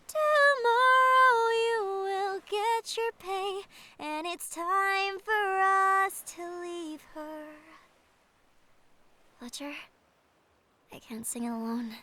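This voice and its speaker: A woman playing a gentle, grieving young girl in an audio drama, speaking and singing softly and tenderly. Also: extremely high pitch, slight background noise, exaggerated voice. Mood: tender, sad. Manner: soft, tender, slow. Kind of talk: audio drama acting, sea shanty singing.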